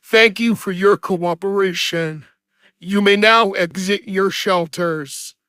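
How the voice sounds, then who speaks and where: A man's voice: high voice, steady tone, slow, bright, forceful tone, clean tone, no echo, clean studio sound. A man reading a formal scripted announcement as an emergency alert announcer, in an official synthetic manner.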